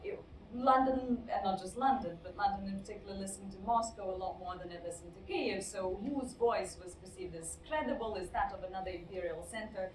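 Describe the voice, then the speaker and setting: Female moderator, measured and frank, distant in a roomy hall with clear background noise. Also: medium pitch. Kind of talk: panel discussion. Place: lecture hall.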